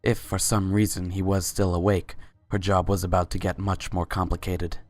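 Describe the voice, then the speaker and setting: A woman's voice: extremely low pitch, little pitch movement, normal speed, a little mellow. A woman reading scripted story narration as the narrator of a dramatic reading.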